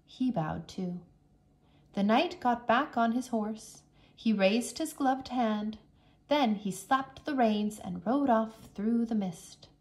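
A woman reads a children's book aloud as a teacher would. Her voice is fairly high and her pace is very slow. She narrates carefully, with expressive storytelling. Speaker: English teacher reading aloud.

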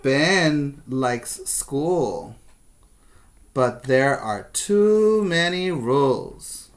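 Very slow-paced man teaching young children. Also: medium pitch, expressive. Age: middle-aged. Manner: slow, deliberate reading with questioning asides. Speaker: preschool teacher. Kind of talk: children's story read-aloud with asides to pupils. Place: small plain room indoors.

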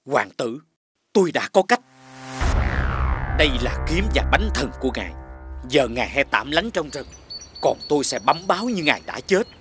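Man acting a loyal cartoon guard, humble, solemn, deferential, medium-pitched voice, music behind. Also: sing-song, moderate pace, long pauses, close to an everyday voice. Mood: loyal, urgent. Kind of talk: animated fairy-tale dubbing.

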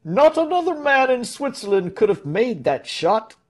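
Shrieking, excitable villager in a cheering crowd. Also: male voice, extremely high pitch, normal speed, voice pushed up, exaggerated voice. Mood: jubilant. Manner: shrieking, shouted short cries.

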